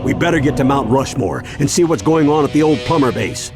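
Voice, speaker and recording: A man's voice: mid-range voice, lively intonation, fairly quick, music in the background, natural voice. An actor playing a seasoned older grandfather and monster fighter in a video game cartoon, earnest and authoritative. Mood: grave explaining.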